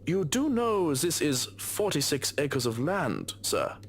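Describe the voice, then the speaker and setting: A weary, sardonic valet. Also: male voice, a little high, very animated, takes it slowly, slight background noise, exaggerated voice. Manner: dry, sardonic. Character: weary male valet, dry and put-upon.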